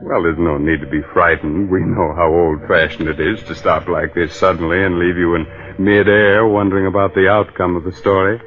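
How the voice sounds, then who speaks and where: Low-voiced old-time radio announcer, tinny sound. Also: male voice, flat delivery, brisk. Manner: chatty, mock-reassuring, folksy salesmanship. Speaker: announcer and host. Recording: narrow tinny old radio recording with music.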